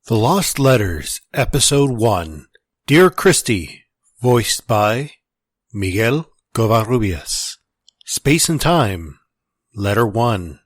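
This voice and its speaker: Guy reading podcast credits, husky and unhurried, kinda low. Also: very animated, dry recording, clean studio sound. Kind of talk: scripted announcement.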